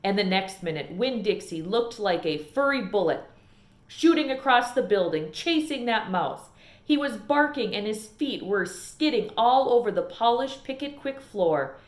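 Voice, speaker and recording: A woman reading a children's novel aloud as an expressive storyteller, in an echoey room. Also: fairly high pitch, moderate pace. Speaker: storybook reader.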